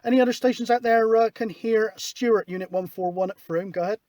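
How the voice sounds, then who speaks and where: A high-voiced man, hosting a CB radio session. The sound is close and dry, and he talks in an informal, matey way. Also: fairly quick, a little mellow, no room sound. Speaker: CB radio operator, host. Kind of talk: casual radio chat. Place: outdoors at a hilltop spot, mobile radio setup.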